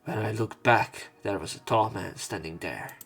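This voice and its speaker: Low-voiced man narrating a visual novel. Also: moderate pace, creaky, husky. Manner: sweary reactions, expressive dialogue reading. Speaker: let's player. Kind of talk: reading visual novel aloud with casual commentary. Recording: game music behind voice. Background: game music.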